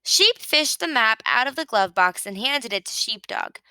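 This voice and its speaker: A woman reading a children's picture book aloud with expressive narration. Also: a little high, normal speed, clean tone, no room sound. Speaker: storybook reader.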